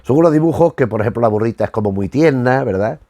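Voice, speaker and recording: A man from talks at a middle pitch in a very dry recording. He hosts in an informal, rambling way, wandering off and circling back as if working from jotted notes. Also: lively intonation, fast talker, warm tone, dry recording. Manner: digressive, colloquial, trails off with vague tags. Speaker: host of a retro TV review show.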